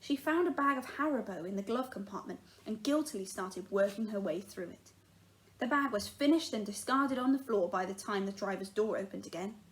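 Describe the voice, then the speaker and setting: A woman's voice: medium pitch, brisk, rough recording. A woman reading a children's book aloud as a teacher does at storytime, narrating expressively and acting out the dialogue. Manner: animated, acts out the dialogue.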